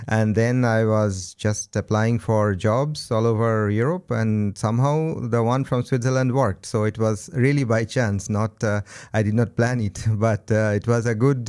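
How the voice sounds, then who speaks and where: A man from India with an Indian accent, answering casually as an interview guest in measured, hesitant phrases. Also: mid-range voice, darkish tone, clear, resonant tone. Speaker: podcast guest, event co-founder.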